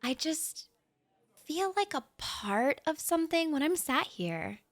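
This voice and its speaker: A young woman plays a bashful writer who shares her table at a café, rambling softly with little nervous laughs. She talks slowly in a gently raised voice. The sound is close and dry, with no room echo. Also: sits high, sing-song, heavily acted. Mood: reflective. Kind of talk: audio roleplay.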